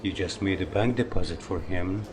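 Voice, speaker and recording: A man's voice: low voice, moderate pace, background noise, close to an everyday voice. An actor playing a wounded, jealous older man in love in a film drama, earnest and pressing as he talks. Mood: probing, suspicious. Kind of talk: naturalistic film drama dialogue.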